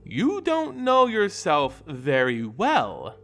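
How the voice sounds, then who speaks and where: Man voicing a slow, needling ghostly witch. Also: fairly high pitch, wildly swooping pitch, fairly bright tone, full character voice. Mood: cryptic, teasing. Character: spectral female witch spirit, cryptic and needling. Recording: voice over background music.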